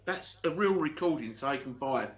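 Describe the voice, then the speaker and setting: A man with an Essex English accent co-hosting a ghost hunting live stream, calmly arguing his point in casual chat. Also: fairly high pitch, normal speed.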